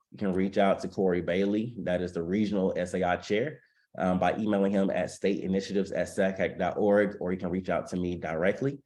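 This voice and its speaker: A man's voice: sits low, darkish tone. A congested man hosting a webinar on a video call, making announcements with frequent ums. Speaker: webinar host.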